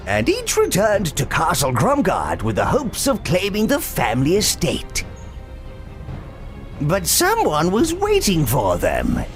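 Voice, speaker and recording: An actor voicing a sly, gleefully villainous old minion creature in a video game, theatrical and scheming with mock deference. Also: male voice, high voice, full character voice. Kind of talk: video game narration and instructions.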